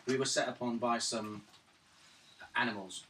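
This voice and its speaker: A man playing a tabletop game, calmly describing what his character does. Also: mid-range voice. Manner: measured, describing actions. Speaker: player. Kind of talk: casual tabletop game chat. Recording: mic far off, background noise.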